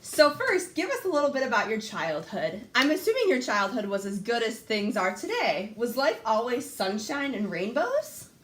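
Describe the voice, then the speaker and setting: A woman's voice: fairly high pitch, big pitch swings, normal speed, hardly any pauses, a bit bright. A young woman hosting a scripted mock talk-show interview in an upbeat, read-from-script manner. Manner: upbeat, reads questions from a script. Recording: echoey room.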